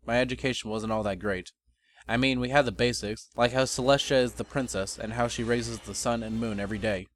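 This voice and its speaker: A man reading a first-person fantasy story as narrator, in a measured, bookish dramatic reading. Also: mid-range voice, fairly bright tone, dry recording.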